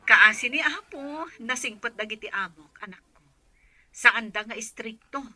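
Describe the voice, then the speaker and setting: A woman's voice: fairly high pitch, moderate pace, sharp tone, dry recording. An actress playing an anxious, affectionate mother on a call from abroad in an Ilocano radio drama. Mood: caring, relieved.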